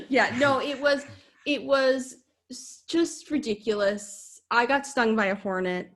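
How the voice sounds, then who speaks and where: A woman's voice: a little high, slow. A woman with a Minnesotan accent, a podcast guest chatting casually, bubbly, laughing often and rambling as she tells stories. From Minnesota, USA. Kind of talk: casual chat.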